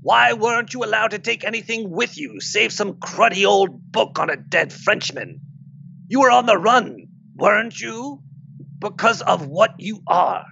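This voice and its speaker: Man acting a creaky, dying British soldier, muffled noisy audio. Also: sits high, lively intonation, a little slow, bright, forceful tone, exaggerated voice. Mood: urgent, accusing. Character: dying, bitter wounded British soldier, protective young father.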